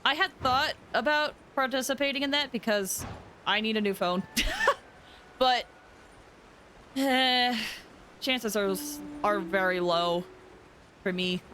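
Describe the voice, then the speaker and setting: A woman live-streaming a game, giving casual, chatty commentary and reacting out loud. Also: fairly high pitch, slow pace, pauses often, slight background noise.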